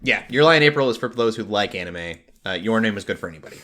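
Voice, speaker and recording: A man's voice: lowish voice, lively intonation, quick pace, bright, forceful tone. A man hosting a casual pop culture podcast, chatting and recommending things enthusiastically. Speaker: podcast host.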